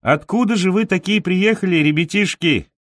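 A man's voice: sits high, normal speed, dry recording, studio quality, pitched above the performer's own voice, natural voice. A man voicing a jovial, mock-friendly director of a children's institution in an audiobook, coaxing with a hint of menace. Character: jovial, syrupy adult male institution director, lightly menacing.

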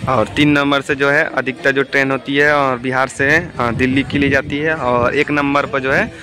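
A man's voice: mid-range voice, level tone, moderate pace, bright, forceful tone. A young man filming a casual travel vlog on a handheld phone, talking to his viewers with many hesitation fillers while walking a railway platform and riding a train. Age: young adult. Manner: chatty, addresses viewers directly, frequent hesitation fillers. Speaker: travel vlogger. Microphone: phone held at arm's length for selfie. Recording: noisy outdoor station and train sounds.

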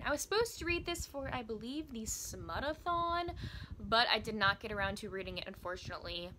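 A woman in her twenties talks casually and emphatically, like a vlogger reviewing books. Her voice is bright and forward, sitting a little high. There is some noticeable noise behind her in the recording. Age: 20s. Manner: enthusiastic, emphatic. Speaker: book vlogger. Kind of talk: casual chatty book review to camera. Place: small indoor room with bookshelves.

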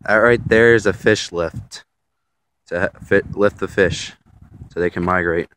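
A young man casually narrating a tour of a river dam outdoors, filming on a phone, halting with many fillers and restarts. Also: deep voice, a bit bright, a little hiss or hum. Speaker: vlogger filming a local landmark. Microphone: phone camera held while walking.

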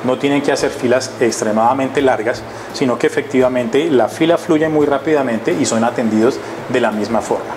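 A man in his fifties from Colombia, a university facilities manager giving a measured testimonial with some restarts, on a lapel mic in a large kitchen. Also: medium pitch, music in the background. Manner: measured, explanatory, with hesitations and restarts. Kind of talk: testimonial interview. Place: large industrial kitchen, indoors.

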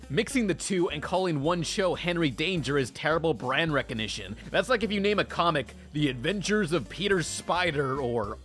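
A man's voice: fairly high pitch, a bit bright. A man hosting a review show, reading a scripted, sarcastic and mocking commentary. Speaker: host of a cartoon review show. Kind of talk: scripted review narration with sponsor read. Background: music bed.

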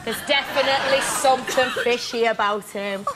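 A woman's voice: fairly high pitch, normal speed, a loud crowd, natural voice. An actress playing a chatty, man-mad mermaid delivering pantomime gags. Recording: very noisy studio stage.

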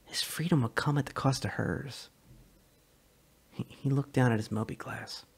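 A man's voice: mid-range voice, moderate pace, breathy edge. A man giving a dramatic reading of a short story as narrator.

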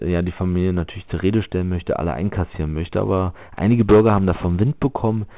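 A man's voice: low-pitched, dry recording. A man with a German accent giving a casual spoken film review as a voice-over, hesitating often with fillers. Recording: dry, tinny phone-like recording.

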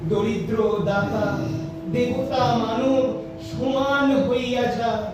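A man's voice: extremely high pitch, steady tone, slow pace. A man playing a devout, tormented young temple servant in a poetic audio drama, declaiming solemnly. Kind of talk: recited Bengali stage audio drama. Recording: distant, echoing hall, loud music.

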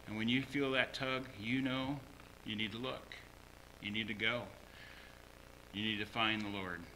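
Guy pastor preaching, really bright forceful voice, punchy repeats, some noise behind him. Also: mid-range voice, low-quality recording. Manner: emphatic, repeats short phrases. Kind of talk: sermon.

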